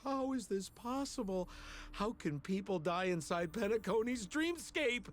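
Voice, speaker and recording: An actor playing an excitable, slippery young male rogue in a video game, exclamatory and theatrical in his delivery. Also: high voice, unhurried, slight background noise. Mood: shocked, accusing. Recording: game music behind the voice. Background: game music.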